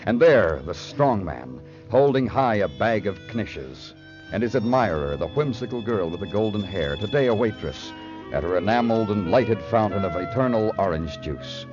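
An actor playing a world-weary New York police detective in a vintage radio crime drama, speaking in a brooding, clipped and lyrical way. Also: male voice, fairly low pitch, big pitch swings, normal speed. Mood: wistful, brooding. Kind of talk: 1950s radio noir acting and narration. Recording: dull old radio recording with music.

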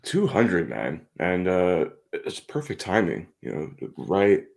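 Man, very deep voice, gravelly, lively swings in pitch, laid-back slangy podcast co-host. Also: slow pace. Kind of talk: casual podcast banter.

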